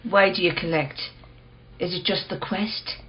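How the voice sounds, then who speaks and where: An actress playing a cool, probing woman who will not budge, measured and blunt, in a radio play. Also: lowish voice, steady tone, lo-fi sound, natural voice. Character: cool, probing, unyielding female owner of rare mirror.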